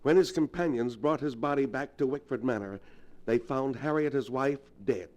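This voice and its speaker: Casting: man as solemn learned doctor, mid-range voice, ominous measured storyteller for vintage radio horror. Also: mid-range voice, a little mellow, close to an everyday voice. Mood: grave, explaining. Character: grave, learned doctor versed in the supernatural. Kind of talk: 1940s radio acting.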